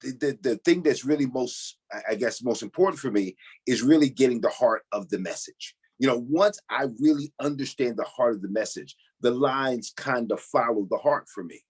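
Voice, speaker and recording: A man's voice: medium pitch, moderate pace, breathy edge. A middle-aged man, a pastor appearing as a guest, answering reflectively and leaning on "you know" and repeated words. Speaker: pastor and novice actor, guest.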